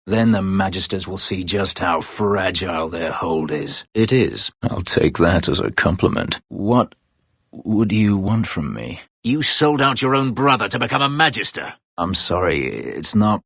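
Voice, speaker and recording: An actor playing a brooding, bitter escaped-slave elf warrior in a fantasy video game, terse, guarded and drily sardonic, with a gravelly voice. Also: male voice, lively intonation, measured pace, a bit bright, dry recording, clean studio sound, exaggerated voice. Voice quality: gravelly, husky low voice. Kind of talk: dramatic fantasy video game character dialogue.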